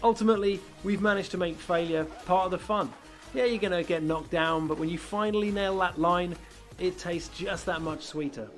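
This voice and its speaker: A man's voice: high-pitched, normal speed, soft background music. A man reading an upbeat scripted voice-over for a video game trailer.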